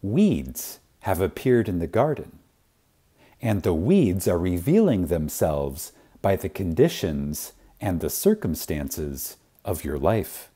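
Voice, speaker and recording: Man, sermon-like dramatic reading, mellow tone, unhurried, reverent, emphatic, deliberate pauses. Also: deep voice, sing-song, husky. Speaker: reader of a spiritual lecture.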